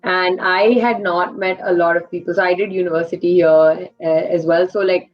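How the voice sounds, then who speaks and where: A woman's voice: fairly low pitch, fairly even intonation, normal speed, soft background music. A young woman from India raised in Qatar, telling her story in a chatty, giggly way with many fillers. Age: young adult. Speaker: interview guest. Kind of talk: casual personal storytelling. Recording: dull lo-fi audio.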